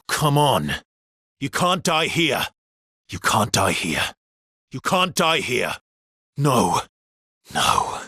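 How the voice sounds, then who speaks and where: A man voicing a weary, battle-hardened immortal swordsman in a video game, with a husky, breathy voice and terse, intense, dramatic delivery. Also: fairly high pitch, pushed and bright, no room sound, clean studio sound, full character voice. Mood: desperate. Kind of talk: video game combat barks.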